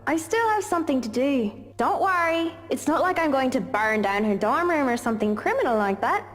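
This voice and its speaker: A woman's voice: high-pitched, lively intonation, fairly quick. A woman voicing a brash, protective teenage schoolgirl who talks tough, cocky and teasing. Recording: reverberant game audio with music.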